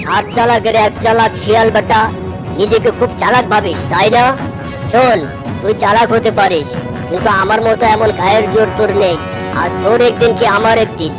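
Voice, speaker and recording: A woman's voice: mid-range voice, brisk, music in the background, natural voice. A woman voicing a gullible, hardworking male tiger uncle in a children's cartoon, earnest and plain-spoken. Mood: furious.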